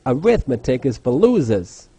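Man playing a lively, brash, dim cartoon teen. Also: mid-range voice, moderate pace, breathy edge, no echo. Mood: dim, eager. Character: slow-witted, brash, eager teenage male duelist. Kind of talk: cartoon parody dub.

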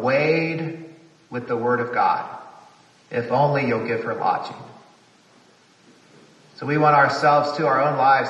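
A man's voice: mid-range voice, sharp tone, roomy sound, big-room sound, far from the mic, rough recording. A man, a priest, preaching a reflective homily at a retreat, repeating phrases for emphasis.

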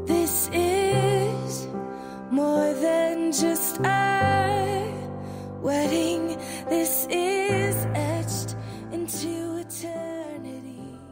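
A woman speaking tender wedding vows as a voice-over, slow and drawn out. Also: very high-pitched, steady tone. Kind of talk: vows read over music. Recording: voice-over under loud music.

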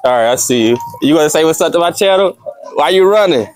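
A young man chatting casually to his vlog viewers while he works in his kitchen at home. He talks in a relaxed, chatty way and keeps calling the audience "y'all". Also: fairly high pitch, fast, dry recording. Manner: laid-back, chatty, addresses viewers as y'all. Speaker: vlogger.

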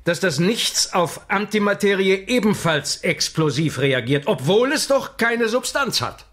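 A man's voice: high voice, expressive, a little slow, fairly bright tone. A man playing an earnest, worried professor in a children's science-fiction audio drama, precise and dramatic.

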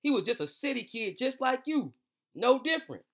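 A man voicing a kind, encouraging older man in a storybook, gently and earnestly reassuring children. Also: extremely high pitch, big pitch swings, normal speed, pitched above the performer's own voice, full character voice.

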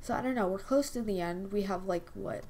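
A young woman hosting a casual game video, her voice hoarse and quiet from a cold, rambling with lots of fillers. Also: medium pitch, fairly quick, slight background noise. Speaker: gaming channel host. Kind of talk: casual chat over gameplay. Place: home desk at night.

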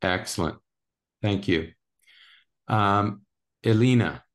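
A man's voice: fairly low pitch, slow pace. A man hosting online introductions on a video call, calling on people by name and apologizing for mispronouncing them. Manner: apologetic about pronouncing names. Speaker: workshop host. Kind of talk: casual online meeting introductions.

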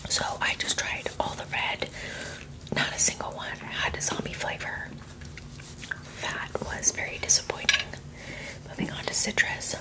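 A woman in her thirties whispering in a hushed, breathy ASMR style, close to the microphone. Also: measured pace, pauses often, poor audio. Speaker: ASMR creator tasting candy. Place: small indoor setup with fabric backdrop. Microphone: close tabletop microphone.

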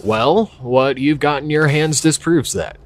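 An actor playing a skeptical, guarded park ranger in an audio drama, plain-spoken and matter-of-fact. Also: male voice, fairly high pitch, unhurried, natural voice. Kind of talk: naturalistic audio drama acting.

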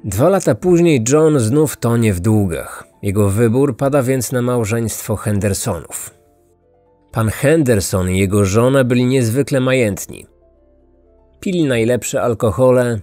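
A man's voice: mid-range voice, measured pace, a little mellow, dry recording. Dry, close male true-crime narrator. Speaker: podcast host and narrator. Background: music.

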